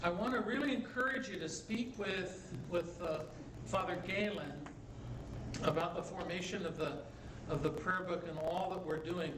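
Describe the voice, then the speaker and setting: A middle-aged bishop with a high voice speaks at a conference, switching between his learned Spanish and his native English. He tells stories in a jovial way and keeps asking his listeners "right?". He is heard from a distance in an echoey hall, with steady noise underneath. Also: normal speed. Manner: jovial storyteller, asks "right?" often.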